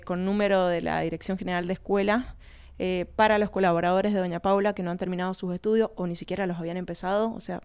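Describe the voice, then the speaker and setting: A woman's voice: medium pitch, a little mellow, clean tone. A woman with an Argentine accent, giving conversational answers as an interview guest. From Argentina, born in Colombia. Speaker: winery research and communication manager, interview guest.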